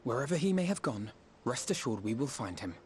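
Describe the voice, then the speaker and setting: An actor playing an earnest, courteous young hero in a video game, speaking in a formal, measured and reassuring way. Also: male voice, medium pitch, very animated, soft background music, close to an everyday voice.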